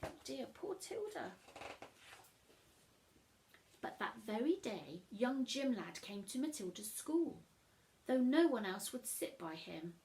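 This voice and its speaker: Female children's storyteller: high voice, lively melodic delivery, expressive rhyming narration. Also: slow, long pauses, a little hiss or hum, low-quality recording. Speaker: storyteller, reading aloud.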